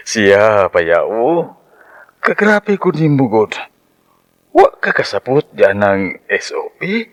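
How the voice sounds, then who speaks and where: A man's voice: on the low side, big pitch swings, drawn-out words, long pauses, exaggerated voice. An actor playing a pushy, amorous man of sixty-three in a radio drama, with a Central Visayan Filipino accent. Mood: coaxing, lecherous. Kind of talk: Cebuano radio drama acting.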